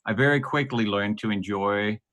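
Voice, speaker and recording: Low-voiced Danish man, halting speech. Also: fairly bright tone. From Denmark. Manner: hesitant, many ums and restarts, laughs lightly. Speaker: guest. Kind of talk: podcast interview.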